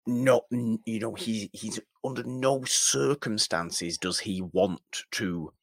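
A man's voice: on the low side, slow, no echo. A man co-hosting a podcast, talking casually about film and repeating his points for emphasis. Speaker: podcast co-host.

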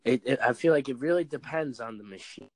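A man's voice: mid-range voice, quick pace, a little mellow, no room sound. A young man co-hosting a casual chat, easygoing and agreeable, often laughing as he talks.

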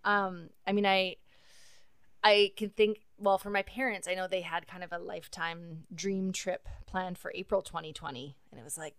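A woman's voice: fairly low pitch, steady tone, moderate pace, a bit bright, clear, resonant tone, no room sound, clean studio sound. A woman hosting a podcast, talking in a reflective, earnest way with fillers. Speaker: podcast host. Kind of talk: casual conversation.

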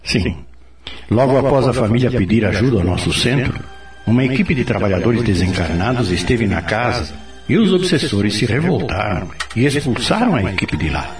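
A Brazilian man playing a patient, authoritative spirit mentor in a radio drama, explaining things in a didactic, expressive way. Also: fairly low pitch, normal speed. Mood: instructive. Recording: roomy, distant, music behind.

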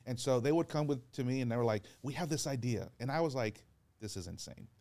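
A man, a pastor, talking earnestly in a relaxed podcast chat, telling stories and acting out what people said. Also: medium pitch, brisk. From Georgia, US. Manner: earnest, encouraging storyteller who acts out quotes. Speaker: pastor and guest. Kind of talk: casual podcast conversation.

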